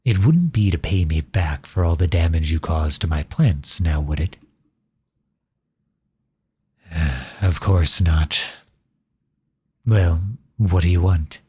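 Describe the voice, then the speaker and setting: A man's voice: deep voice, animated, a little slow, warm tone, breathy edge, full character voice. A man acting in an ASMR roleplay as a grumpy, exasperated male creator with a sarcastic, flustered, grudging manner. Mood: grudging, weary.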